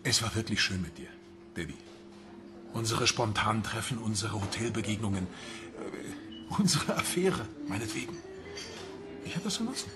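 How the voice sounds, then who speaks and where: Man acting an evasive, lowish-voiced adulterer. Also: lively intonation, moderate pace, long pauses, music in the background, lightly acted. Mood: awkward. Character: evasive, awkward married man ending an affair. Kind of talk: radio drama acting.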